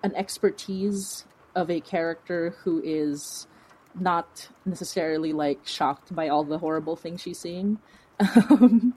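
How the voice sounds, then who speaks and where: A woman's voice: fairly low pitch, measured pace, a little mellow, rough recording. A woman from the Philippines chatting informally as an interview guest, rambling and often giggling as she talks. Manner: giggly, laughs through speech, rambling and informal. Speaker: guest, horror fiction podcast creator. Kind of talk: casual interview chat.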